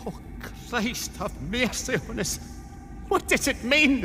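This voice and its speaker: Man doing a gruff Scottish steward, scolding, very high and lively, slow, reverb, music. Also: exaggerated voice. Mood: horrified. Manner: brusque, scolding.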